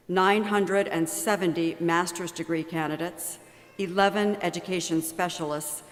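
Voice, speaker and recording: A woman reading formal announcements as a ceremony announcer, measured and formal. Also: lowish voice. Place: large arena. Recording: long arena reverb.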